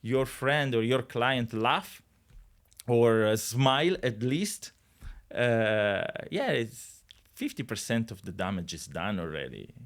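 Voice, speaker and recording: A middle-aged Italian man, a guest on a podcast, speaking English with an Italian accent in an animated, jokey way, with many restarts. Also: mid-range voice, slow. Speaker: guest, real estate agent. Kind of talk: casual podcast conversation.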